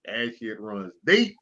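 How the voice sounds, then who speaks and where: Texan male host, slangy laughing storyteller, bright edge, muffled lo-fi audio. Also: lowish voice. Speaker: show host. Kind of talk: casual sports chat.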